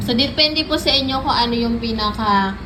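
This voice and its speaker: A woman with a Filipino accent giving a casual, chatty product demo and sales pitch, often addressing her viewers directly. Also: brisk, noisy background. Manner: chatty, repeats filler tags and addresses viewers. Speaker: product reviewer and live seller.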